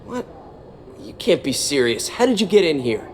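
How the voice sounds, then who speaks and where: An actor playing a suspicious but easily cowed worker in a video game, speaking in a flustered, hesitant manner. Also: male voice, on the high side, big pitch swings, moderate pace, darkish tone, big-room sound, a little hiss or hum.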